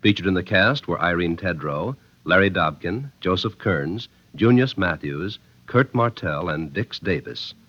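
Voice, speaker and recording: A man's voice: low voice, expressive, a little slow, few pauses, fairly bright tone, dry recording. A man working as a radio announcer, reading scripted copy in a polished, formal 1950s style. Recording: dull vintage radio recording.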